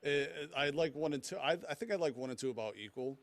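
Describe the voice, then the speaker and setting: A man in casual podcast chat, speaking in a halting way and often repeating his phrases. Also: on the high side, dry recording. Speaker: podcast panelist.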